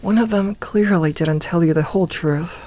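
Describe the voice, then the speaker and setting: A woman voicing a gentle, comforting young werewolf in a soft ASMR roleplay, talking intimately and reassuringly to one listener with light humour. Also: on the low side, moderate pace, natural voice. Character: gentle, comforting young female werewolf, playful self-styled lone wolf.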